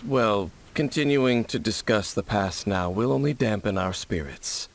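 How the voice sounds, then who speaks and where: An actor playing a stoic, mysterious warrior guide in a video game, speaking gravely and formally. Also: male voice. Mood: solemn. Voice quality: low, gravelly.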